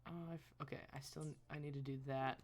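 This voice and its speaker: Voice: mid-range voice, steady tone, fast talker, a little hiss or hum. A game streamer chatting casually and rambling while thinking aloud on a live stream.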